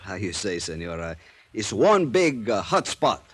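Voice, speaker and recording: Helper, halting broken English, lowish voice, old radio drama. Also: male voice, very animated, loud music behind the voice. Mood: eager. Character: Mexican police helper, eager, broken English. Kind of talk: 1950s radio drama acting.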